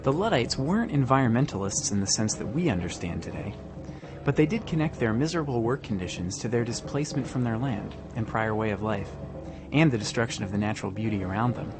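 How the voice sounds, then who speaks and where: A man's voice: mid-range voice, lively intonation, fast. A man reading scripted documentary narration for a podcast. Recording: voice over clearly audible music. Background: music.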